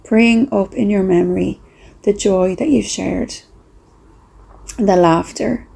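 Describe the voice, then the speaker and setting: A woman speaking softly and reflectively with pauses, in a breathy, husky voice, as she leads a gentle guided meditation. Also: medium pitch, fairly even intonation. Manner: slow, soft, reflective, with pauses.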